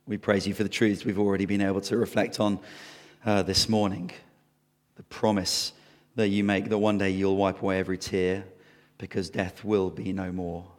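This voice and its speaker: A man preaching a sermon and leading prayer, hoarse from losing his voice, in an earnest, conversational way. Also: low voice, in a large hall.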